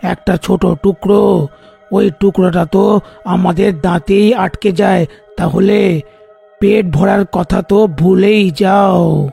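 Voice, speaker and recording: A man voicing a hungry, grumbling ghost in a horror cartoon, with a rough, raspy, complaining voice. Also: sits high, steady tone, takes it slowly, warm tone, faint music behind, heavily acted. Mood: resentful. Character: grumbling, hungry ghost hunting human prey.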